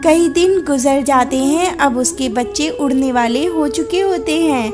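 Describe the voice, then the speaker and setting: A woman reading scripted narration for a children's animated moral story. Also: sits high, unhurried. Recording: music behind the voice.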